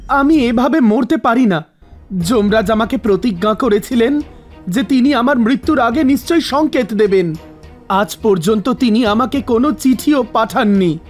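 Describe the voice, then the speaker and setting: An actor voicing a stubborn old mortal man in an animated mythological story, arguing insistently with the gods. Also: mid-range voice, natural voice. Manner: argumentative, accusing, insistent.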